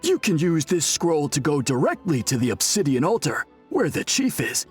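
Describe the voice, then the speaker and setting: A dutiful priest in an anime game, very husky and on the high side. He explains things formally and courteously, with animated swings in pitch. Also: normal speed, faint music behind, heavily acted. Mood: courteous, earnest.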